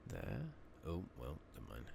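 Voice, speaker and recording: Very low, gravelly, soft-spoken man. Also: very animated, moderate pace. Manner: murmuring, often dropping into whispers; casual muttering to himself, mild swearing. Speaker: video game streamer. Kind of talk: soft-spoken ASMR gameplay commentary. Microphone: makeshift-rigged new microphone. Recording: noisy close mic, slightly loud, clicking.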